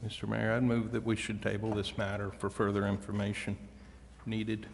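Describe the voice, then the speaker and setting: An American man making deliberate, halting remarks as a council member at a meeting. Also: lowish voice, level tone, gravelly. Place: council chamber. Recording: echoey hall, faint noise.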